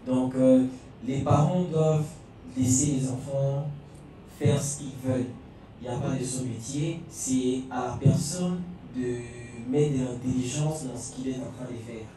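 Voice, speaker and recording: A man with a Congolese accent speaking as a panel guest in measured, halting remarks. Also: mid-range voice. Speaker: panel speaker, manga artist. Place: echoey room at a public event. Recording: echoey hall, distant mic, music behind.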